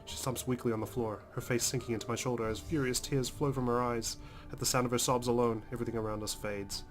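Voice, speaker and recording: A man's voice: medium pitch, flat delivery, fast. A man hosting a let's play, reading visual novel narration aloud dramatically with casual, emotionally involved comments. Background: game music.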